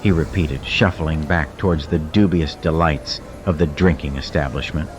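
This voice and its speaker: A man's voice: a bass voice, normal speed, noisy background. A man giving dramatic scripted narration of a fiction audiobook. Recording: studio narration over cinematic music.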